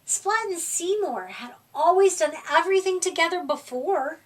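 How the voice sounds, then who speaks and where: A woman reading a children's picture book aloud at a library storytime, with gentle, expressive delivery. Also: extremely high pitch, moderate pace. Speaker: storytime reader. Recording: voice from far off the mic.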